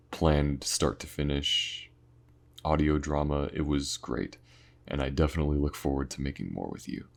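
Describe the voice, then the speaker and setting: A man with an extremely low voice and a heavy crackle of vocal fry speaks casually to his audience. His delivery is informal and earnest, halting as he searches for words, like a creator thanking the people who follow his work. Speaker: voice actor and audio series creator. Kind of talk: casual thank-you talk to viewers.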